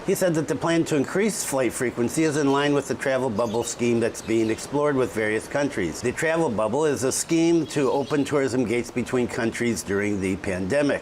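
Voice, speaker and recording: A man's voice: mid-range voice, a little hiss or hum, low-quality recording. A man hosting a casual news update video, reading figures and articles aloud and adding his own chatty asides. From lives in Bali. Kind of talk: casual spoken news roundup.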